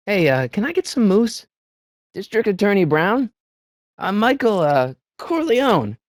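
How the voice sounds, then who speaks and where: An actor playing an excitable, wisecracking American teenage boy in a video game, with a youthful voice and a hesitant, boyish delivery. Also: high-pitched, dry recording, clean studio sound. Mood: nervous, hesitant. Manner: boyish, hesitant, stammering asides and exclamations. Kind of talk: video game voice acting, short character lines.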